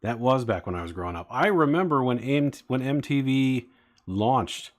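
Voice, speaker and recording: A man's voice: medium pitch, expressive, normal speed. A man in his forties chatting casually with live-stream viewers, rambling with many fillers as he talks. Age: 40s. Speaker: streamer building toy sets.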